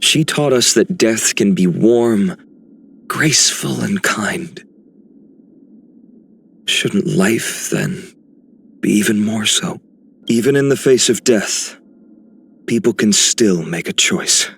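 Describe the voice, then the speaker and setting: An actor playing a thoughtful, gentle, earnest male game character with a soft, husky voice. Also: on the low side, expressive, slow, long pauses, a little hiss or hum, full character voice. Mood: gently solemn.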